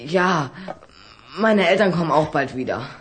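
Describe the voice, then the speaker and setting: A woman's voice: deep voice, animated, measured pace, slight background noise. A woman playing a hesitant, earnest schoolboy in a children's audio drama. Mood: worried, complaining. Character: hesitant, anxious schoolboy, polite and concerned.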